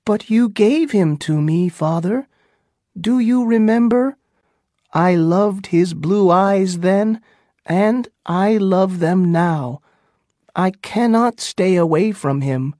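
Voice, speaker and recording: A man voicing an earnest, devoted young woman who speaks softly and pleads. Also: high-pitched, takes it slowly, no room sound, clean studio sound, pitched above the performer's own voice. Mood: pleading.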